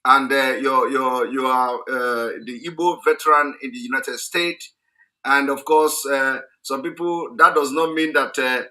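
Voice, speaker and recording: A man's voice: mid-range voice, fairly even intonation, measured pace, pushed and bright, rough recording. A man hosting a live online broadcast, talking hesitantly with many restarts. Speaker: broadcast host.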